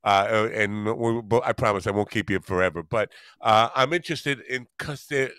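Smooth, low-voiced American man. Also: moderate pace, a bit bright, no room sound, clean studio sound. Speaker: podcast host. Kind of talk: casual interview chat. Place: home studio. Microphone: microphone on stand close to mouth, headset.

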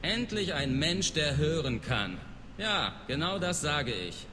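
Curt alien merc guard from a video game, kinda high voice, scornful, noisy background. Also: male voice, big pitch swings, slow pace. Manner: curt, dismissive. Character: gruff alien mercenary guard, contemptuous of humans. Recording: reverberant game scene with clear background noise.

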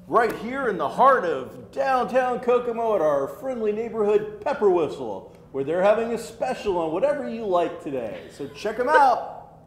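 An American man in his thirties hosting a casual geek culture chat, talking in an animated, chatty way. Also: high voice, normal speed. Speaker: talk show host. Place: roomy indoor restaurant at a table. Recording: roomy echo, faint background noise.

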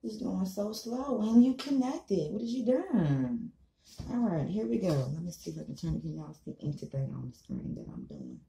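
A woman's voice: lowish voice, huge pitch swings, normal speed, vocal fry, warm tone. An American woman in her thirties giving a casual, blunt, emphatic and repetitive rant to camera, swearing freely. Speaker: online commentary vlogger. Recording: echoey small room.

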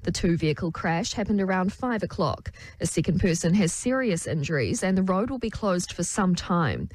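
A woman reporter delivers a scripted news report. Her pitch is fairly low and the sound is dry. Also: animated, moderate pace, a little mellow, dry recording.

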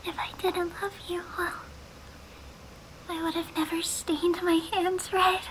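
Very high bright voice, obsessive young yandere woman, intimate whispering, sweetly menacing, ASMR roleplay, slight background noise. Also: little pitch movement, measured pace, poor audio. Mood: lovestruck, possessive. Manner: intimate, often dropping into whispers.

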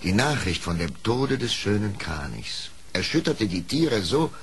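A man narrating a scripted radio play story in an expressive storytelling manner. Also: lowish voice, unhurried, music in the background.

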